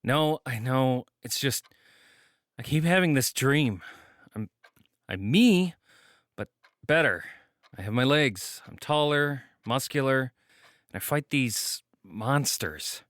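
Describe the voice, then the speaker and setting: A man's voice: mid-range voice, animated, slow pace, pauses often, fairly bright tone. A man playing an earnest, self-doubting hero in an audio drama, speaking in a hesitant, natural manner. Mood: frustrated, downcast. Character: troubled, earnest young male hero who doubts himself.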